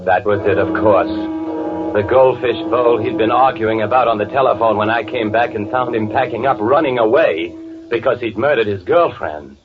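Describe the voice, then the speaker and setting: A man's voice: on the low side, normal speed, music in the background, close to an everyday voice. An actor playing a frantic, guilt-ridden man posing as his dead twin, stammering and pleading in old-time radio drama style. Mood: bitter, unhinged. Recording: tinny, noisy old radio recording.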